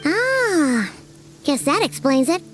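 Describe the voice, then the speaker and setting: Very high, squeaky fairy companion. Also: female voice, sing-song, slow pace, a bit bright, soft background music, exaggerated voice. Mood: curious. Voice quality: squeaky, childlike. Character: tiny childlike fairy companion, naive, curious and earnest. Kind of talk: video game voice acting.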